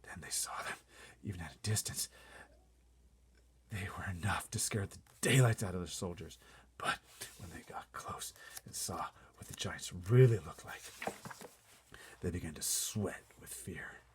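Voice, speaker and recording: A man's voice: sits low, measured pace, long pauses, husky, in a whisper. A man narrating a storybook in a dramatic, theatrical and suspenseful readaloud style. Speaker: storybook reader.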